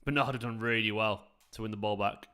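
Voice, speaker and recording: A man's voice: fairly low pitch, fairly even intonation, a little fast, bright, forceful tone, clear, resonant tone. A young man with a South Manchester accent, chatting casually to viewers during a live football watchalong. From South Manchester, England. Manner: chatty, rambling, talks to viewers. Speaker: fan channel host.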